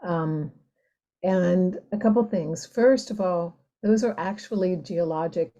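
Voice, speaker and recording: A woman speaking as a poet guest, explaining her craft informally and reflectively, pausing to choose her words. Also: on the low side, mellow, highs cut off. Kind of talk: conversational explanation of writing craft.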